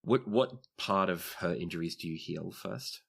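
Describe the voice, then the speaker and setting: Guy game mastering a tabletop rpg, low voice, narrating and refereeing. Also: moderate pace, gravelly, slightly rough.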